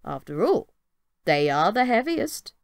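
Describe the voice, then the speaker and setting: A woman's voice: medium pitch, big pitch swings, dry recording, studio quality. A woman doing a cocky little tailor. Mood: boastful. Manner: cocky, bright, quick-witted. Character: boastful clever little male tailor.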